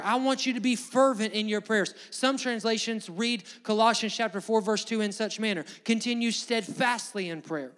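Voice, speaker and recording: High-voiced Alabama preacher man in a reverberant hall. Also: fairly bright tone. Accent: Southern US, Alabama. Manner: emphatic, repetitive, exhorting delivery. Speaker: pastor preaching to a congregation. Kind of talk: fervent church sermon.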